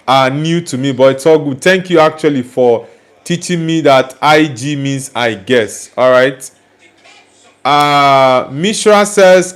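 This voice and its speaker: A young man from Nigeria hosts a football live stream in a relaxed, chatty way. His voice sits a little higher than most men's. He reads out viewer comments and answers them directly, sometimes teasing, sometimes blunt. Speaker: livestream host reading chat. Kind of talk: casual live chat.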